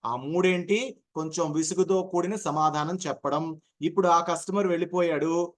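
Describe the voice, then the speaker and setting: A man's voice: fairly high pitch, fairly even intonation, normal speed. A man gives an informal lesson. He explains through everyday examples, poses questions and answers them himself, repeating points for emphasis. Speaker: voice modulation trainer.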